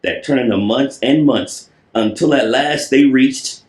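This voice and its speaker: A man's voice: mid-range voice, unhurried. A man reading a children's storybook aloud in a playful, theatrical way, stretching and repeating words for effect. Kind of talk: animated storybook read-aloud with playful asides. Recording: roomy, echoing voice recording.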